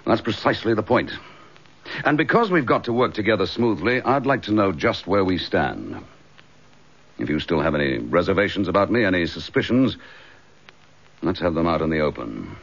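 An actor playing a determined chief inspector in a radio drama, with a clipped, forthright English delivery. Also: male voice, low voice, big pitch swings, normal speed, fairly bright tone, slightly rough, full character voice. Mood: insistent. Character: determined, dogged police chief inspector, recently suspended. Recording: dull, lo-fi radio drama recording.

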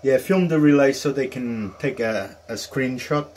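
A man giving an informal hands-on demonstration as a tutorial host, speaking in a halting, hesitant way. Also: medium pitch, a little mellow, a little hiss or hum. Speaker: host of a hobby radio tutorial. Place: small indoor workbench area.

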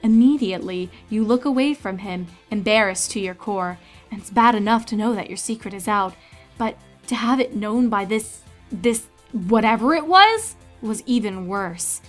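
A woman's voice: mid-range voice, animated, normal speed, faint music behind. A woman narrator reading a story aloud in a scripted, dramatic storytelling style.

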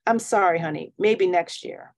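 A woman's voice: lowish voice, normal speed. A woman voicing a busy, caring but firm mother in a children's storybook. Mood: gently firm. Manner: gently firm, reasoning.

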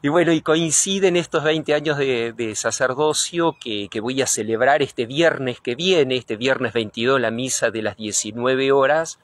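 A man's voice: mid-range voice, measured pace, few pauses, no echo. A middle-aged Argentine man, a priest being interviewed, speaking reflectively and earnestly, often searching for his words. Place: outdoors in front of a church. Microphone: handheld reporter microphone.

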